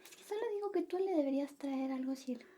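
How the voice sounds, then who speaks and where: Woman acting a high, soft, whispery jealous best friend. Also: quick pace, slight background noise, natural voice. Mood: sulky, coaxing. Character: jealous, possessive, pouting female best friend in love. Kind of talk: ASMR roleplay in Spanish.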